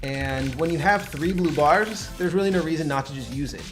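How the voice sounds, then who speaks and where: Male gameplay host, fairly high pitch, casual, explanatory, music in the background. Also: a little fast. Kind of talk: casual game commentary. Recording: game music behind.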